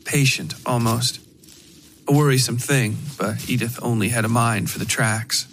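A man reading dark fiction as a scripted narration, in a measured way with dramatic pauses. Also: medium pitch, steady tone, slight background noise. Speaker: narrator of a horror fiction podcast.

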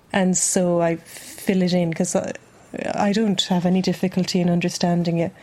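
A woman speaking as an old friend in a documentary interview. She talks in a measured, thoughtful way and questions her own reactions. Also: on the low side, flat delivery, dark tone. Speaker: longtime friend.

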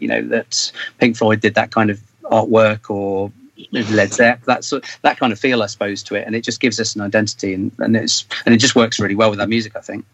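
A man's voice: on the low side, a bit bright, no echo, lo-fi sound. A British man chatting casually as a band member in an interview, rambling and thinking aloud as he goes.

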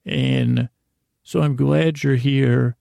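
Male sleep-podcast host: deep vocal fry, soft-edged mellow tone, meandering, self-deprecating, lulling delivery. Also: steady tone, no echo. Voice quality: creaky, gravelly. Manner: halting, meandering, repeats and rephrases himself; self-deprecating, gently silly. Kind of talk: rambling, lulling bedtime monologue.

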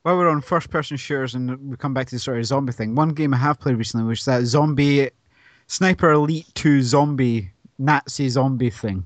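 Man, gaming podcast, enthusiastic, halting, mid-pitched voice, dry sound. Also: dry recording. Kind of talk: casual gaming podcast chat.

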